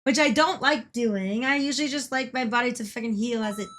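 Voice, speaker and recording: A young woman chats casually while streaming a game, her voice pitched a little high and slightly hoarse. She giggles often and rambles, stringing thoughts together with lots of fillers. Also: brisk, loud music behind the voice. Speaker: livestreamer. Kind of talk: casual chat while gaming.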